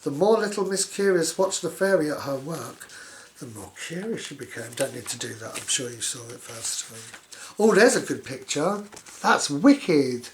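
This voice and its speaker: A man's voice: a little high, big pitch swings, normal speed, roomy sound, slight background noise, low-quality recording. A man reading a children's story aloud, dropping in sarcastic, mocking asides as he goes. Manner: sarcastic, mock-commentating on the story. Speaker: storybook reader.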